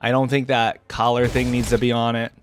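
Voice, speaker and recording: Guy doing a laid-out-loud game reaction, rambly and casual, mid pitch, faint music behind. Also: level tone, fairly quick. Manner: rambling, thinking aloud. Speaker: reaction video host.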